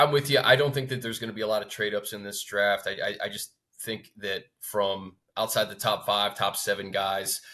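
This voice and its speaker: A man co-hosting a sports talk show, thinking aloud in a measured, conversational way as he gives unscripted analysis. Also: on the low side, bright, forceful tone.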